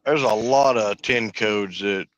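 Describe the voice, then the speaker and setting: A man who drives trucks, chatting on a live panel in a relaxed, matter-of-fact way. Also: lowish voice, slow pace, no echo. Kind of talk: casual live panel chat.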